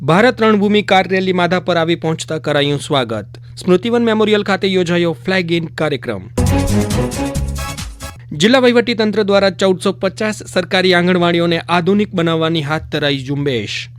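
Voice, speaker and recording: A man's voice: high-pitched, fairly quick, faint music behind. A man reading scripted news for a radio bulletin. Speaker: news reader.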